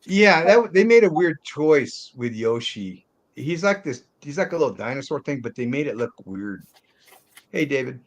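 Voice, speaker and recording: A man's voice: mid-range voice, sing-song, moderate pace. Older man hosting a casual film chat. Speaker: host of a film review panel. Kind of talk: casual movie discussion.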